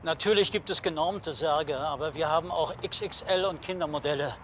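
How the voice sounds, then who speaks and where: An actor playing a correct, slightly guarded undertaker who explains things formally in a TV crime comedy. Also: male voice, a little high, brisk, a bit bright, slight background noise, natural voice. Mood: explanatory, guarded.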